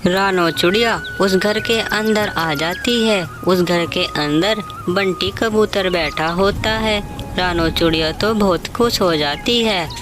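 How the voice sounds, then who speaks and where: A woman's voice: medium pitch, slow. A woman narrating a scripted children's story as the storyteller. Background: loud music.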